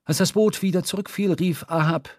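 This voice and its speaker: A man narrating a literary radio drama from a script, storytelling in measured, formal diction. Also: high-pitched, fairly even intonation, a little mellow, dry recording, clean studio sound. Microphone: close studio microphone.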